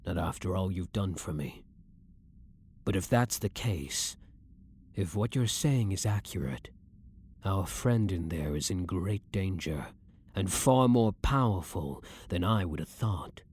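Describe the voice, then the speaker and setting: Man playing a vampire hunter, blunt, brooding, husky, expressive swings in pitch, formal measured phrasing, ASMR roleplay drama. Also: no room sound, heavily acted. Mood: shaken, earnest.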